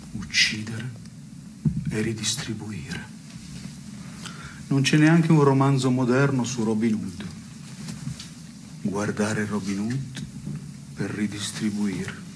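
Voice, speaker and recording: An actor playing a brooding, neurotic, melancholy man who philosophises in measured, literary theatre dialogue. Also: on the low side, steady tone, noisy background. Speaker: stage actor and playwright.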